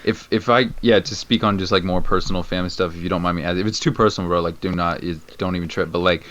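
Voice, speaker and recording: Very deep-voiced laid-back young man. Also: fairly even intonation, fast, fairly bright tone, dull audio. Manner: laid-back, slangy, lots of "man" and "bro". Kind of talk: casual podcast chat.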